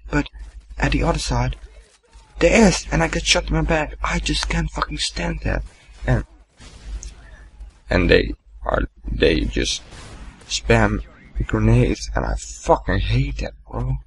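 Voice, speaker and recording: A young man giving casual gameplay commentary, rambling and swearing, with a deep, gravelly morning voice. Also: lively intonation, takes it slowly, pauses often, a little hiss or hum. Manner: rambling, swearing, laughing at himself. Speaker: gaming commentator.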